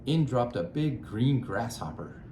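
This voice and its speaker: A man narrating a children's storybook aloud in a measured, expressive way. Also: mid-range voice, roomy sound, background noise.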